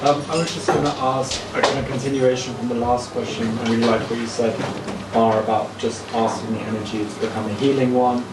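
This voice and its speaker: A man's voice: medium pitch, steady tone, brisk. A man in an audience asking a casual, meandering question in a tentative way, with lots of filler "like". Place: audience area at a live talk. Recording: echoey, distant mic, loud room noise.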